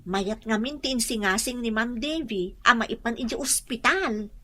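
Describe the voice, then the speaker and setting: A young woman with an Ilocano accent playing a house helper in a radio drama, answering briskly and respectfully. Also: fairly high pitch, a bit bright. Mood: reassuring. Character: eager young maid in a big house.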